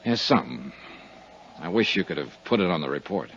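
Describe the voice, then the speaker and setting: A low male American voice that swings in pitch, a tough, wry insurance investigator from an old radio detective serial. The delivery is clipped and dry, on a dull vintage recording with music around it. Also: big pitch swings, a little fast, a bit bright, a little hiss or hum. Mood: probing, dry. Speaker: radio drama lead actor and narrator. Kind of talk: 1950s radio detective acting, first-person narration.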